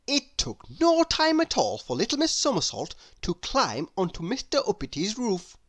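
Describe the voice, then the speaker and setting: A woman reading a children's story aloud expressively, addressing young listeners with dramatic pauses. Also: medium pitch, wildly swooping pitch.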